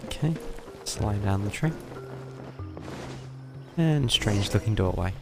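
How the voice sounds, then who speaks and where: A man's voice: fairly low pitch, lively intonation, slow pace, creaky. A man livestreaming a game with casual, offhand commentary in short muttered asides. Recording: game music behind.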